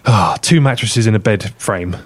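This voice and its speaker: A man's voice: on the low side, normal speed, no room sound. A man from Northern Ireland with a Northern Irish accent co-hosting a radio show, talking in a hesitant, dry, self-deprecating way. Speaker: radio co-host. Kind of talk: casual radio banter. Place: radio studio.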